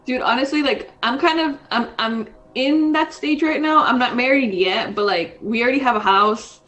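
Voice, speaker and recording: A woman's voice: high-pitched, normal speed, a bit bright, dull audio, a little hiss or hum. Rambling thirty-something woman, casual chat. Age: 30s. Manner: rambling, frequent fillers, says dude. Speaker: podcast co-host.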